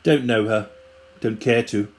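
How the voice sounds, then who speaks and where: A woman's voice: very deep voice, unhurried, a little mellow. A woman playing a grumpy, blunt, impatient husband in an audio drama, curt and complaining. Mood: gruff, impatient.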